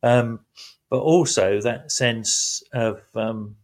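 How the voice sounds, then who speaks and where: A man hosting a relaxed faith-based chat, talking reflectively with gentle emphasis. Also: mid-range voice, very slow.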